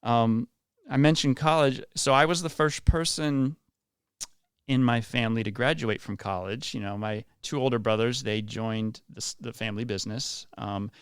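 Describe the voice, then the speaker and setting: A man's voice: mid-range voice, steady tone, moderate pace, smooth, dry recording, studio quality. A man around forty from West Virginia, a community leader speaking earnestly and effusively as a guest in a radio interview. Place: radio studio.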